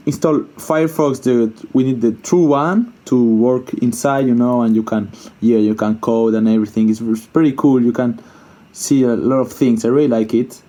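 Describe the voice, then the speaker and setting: Male tutorial presenter, soft mellow tone, informal and hesitant, thinks out loud as he demonstrates. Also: medium pitch. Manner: informal, hesitant thinking aloud, repeats himself, mild swearing. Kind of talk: casual unscripted screen-share coding tutorial.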